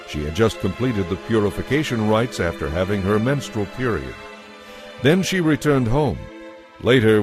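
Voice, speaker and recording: Male narrator, lowish pitch, measured scripted storytelling, audio Bible, faint music bed.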